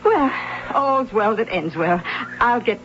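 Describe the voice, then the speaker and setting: A woman's voice: fairly high pitch, animated, slow pace, fairly bright tone. Lilting genteel old lady, muffled radio. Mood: politely curious. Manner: genteel, fussy, old-fashioned. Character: polite, chatty elderly neighbour lady, a grandmother. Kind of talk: old-time radio drama acting. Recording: muffled old radio drama recording, faint noise.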